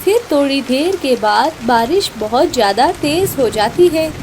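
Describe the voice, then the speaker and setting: A woman's voice: high voice, lively intonation, measured pace, noisy background. A woman reading scripted narration for a children's cartoon story.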